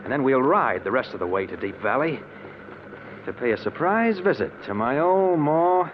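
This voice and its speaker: An actor playing a tough, scheming escaped convict with an American accent in an old-time radio drama. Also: male voice, mid-range voice, sing-song, normal speed, natural voice. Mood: cold, scheming. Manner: tough, commanding. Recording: muffled, noisy old radio recording.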